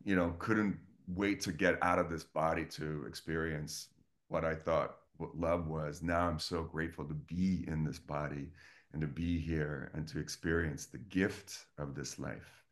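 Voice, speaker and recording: A man's voice: a bass voice, steady tone, measured pace. A man from Estonia, a guest coach, talking earnestly and effusively in a casual interview over a video call. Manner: earnest, effusive, many restarts.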